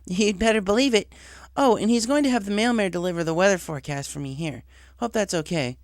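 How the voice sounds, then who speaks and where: An eager young pegasus mare from a storybook, acted out by a male reader, pitched up from a man's range. She talks informally, with brash, cocky confidence, in a dry close sound. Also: quick pace, no echo. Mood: upbeat.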